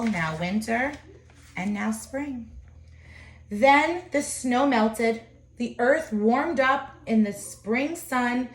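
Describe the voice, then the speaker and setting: A woman with a fairly high voice reads a children's storybook aloud. She is expressive and adds small asides for her listeners. The room around her is clearly echoey. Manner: expressive, pausing to comment to listeners. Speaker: storybook reader. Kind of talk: children's picture book read-aloud with asides.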